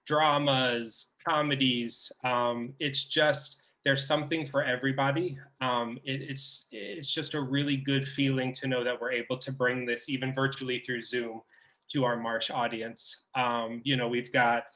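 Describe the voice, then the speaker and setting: A man's voice: mid-range voice, little pitch movement, measured pace, bright, forceful tone, poor audio. A man who works for the theater, talking casually on a video call and hesitating often with fillers and restarts. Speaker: theater staff member.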